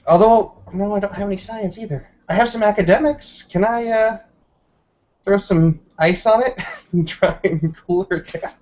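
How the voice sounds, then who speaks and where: A man's voice: sits high, normal speed, darkish tone. A man playing a tabletop roleplaying game, chatting casually and swearing freely as a player. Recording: dull, lo-fi voice recording.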